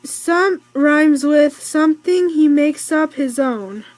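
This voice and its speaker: Woman reading as a bubbly high-voiced girl. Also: slow, darkish tone, no echo, soft background music. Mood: proud. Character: cheerful, excited girl proud of her parrot. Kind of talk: children's storybook read-aloud.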